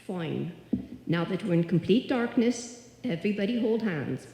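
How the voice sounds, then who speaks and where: A woman's voice: mid-range voice, lively intonation, normal speed, mellow, a little hiss or hum, close to an everyday voice. A woman playing a bossy older Irish widow and landlady in live old-time radio comedy, with a dramatic, crooning delivery. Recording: echoey hall, live stage performance.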